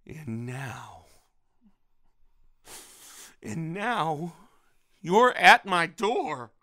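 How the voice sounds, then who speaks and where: A man's voice: extremely high pitch, sharp tone, heavily acted. Man acting a menacing vengeful father, swinging wildly. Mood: anguished, menacing. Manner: slow, taunting, swearing. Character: vengeful grieving father, menacing, bitter, volatile. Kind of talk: audio drama acting.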